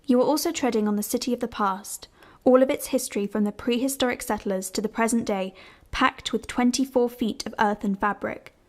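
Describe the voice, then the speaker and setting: A woman narrator reading scripted, literary prose in a deliberate, composed way. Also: a little high, a little mellow.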